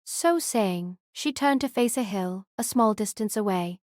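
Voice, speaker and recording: A woman's voice: medium pitch, animated, unhurried, a little mellow, no room sound, clean studio sound. A woman reading scripted third-person novel narration as an audiobook narrator.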